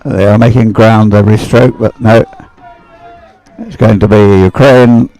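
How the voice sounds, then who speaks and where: A man's voice: lowish voice, fairly even intonation, a little slow, mellow, poor audio. A man giving unscripted live sports commentary in a hesitant, rambling, conversational way. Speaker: race commentator. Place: outdoors beside the water at a regatta.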